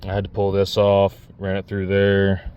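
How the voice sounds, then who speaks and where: A man's voice: low-pitched, monotone, moderate pace, darkish tone, clear, resonant tone. A man giving a casual, unscripted DIY walkthrough, talking plainly and pointing things out as he works. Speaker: DIY vlogger demonstrating a truck CB radio install.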